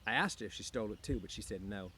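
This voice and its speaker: A man's voice: lowish voice, expressive, very fast, pushed and bright, slight background noise. A man voicing a young, dreamy, discontented small-town wife with rambling, slangy speech in an audiobook. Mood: boastful, wistful. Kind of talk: audiobook character voice.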